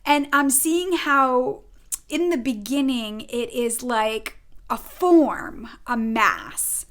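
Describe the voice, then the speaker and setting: A middle-aged woman with a Rhode Island accent talks viewers through a weekly oracle card reading. Her voice sits a little on the high side, and she sounds earnest and effusive. She sometimes drifts into soft, emphatic phrases. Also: expressive, drawn-out words. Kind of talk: informal spiritual guidance monologue.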